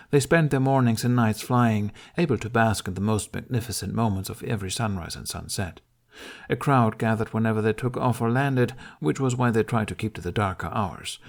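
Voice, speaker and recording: A man narrates an audiobook in a low-pitched voice. He reads scripted prose as a storyteller, carrying the tale along line by line. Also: creaky.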